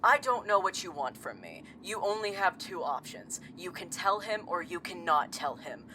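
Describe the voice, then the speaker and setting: Actress as low-voiced, deadpan, sardonic woman seer. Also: normal speed, slight background noise. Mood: blunt, impatient. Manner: deadpan, dry, blunt. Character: blunt, sardonic woman who sees visions. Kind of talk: audio drama acting.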